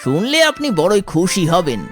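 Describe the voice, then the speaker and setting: A performer voicing a helpful but crafty, flattering eagle in a cartoon. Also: medium pitch, moderate pace, over music, close to an everyday voice. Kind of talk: cartoon dialogue.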